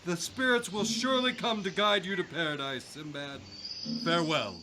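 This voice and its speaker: An actor playing a mournful, soft-hearted king with a regal, theatrical manner in a radio drama. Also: on the high side, normal speed, a little hiss or hum. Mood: ceremonial.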